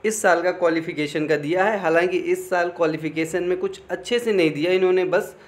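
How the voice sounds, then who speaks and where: A man's voice: high voice, brisk. A man giving an informal explainer, reading out official text and commenting, often repeating phrases and adding check-in tags. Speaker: exam information presenter.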